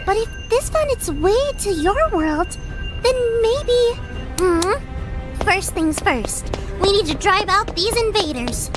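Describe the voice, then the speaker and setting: Tiny, very high-voiced gentle creature. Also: female voice, very animated, takes it slowly, over music, full character voice, low-quality recording. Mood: pondering. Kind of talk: comic dub voice acting.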